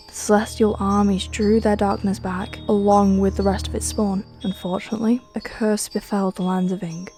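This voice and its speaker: A woman delivers scripted fantasy narration with a dark, mellow tone and a mid-range pitch. She tells the tale solemnly and with drama, as a trailer storyteller would. Music plays behind her voice.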